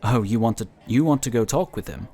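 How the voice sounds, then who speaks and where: A man playing a wry, cautious and protective inquisitor in a sci-fi audio drama, with measured, articulate delivery. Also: medium pitch, expressive, warm tone. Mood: concerned, cautioning.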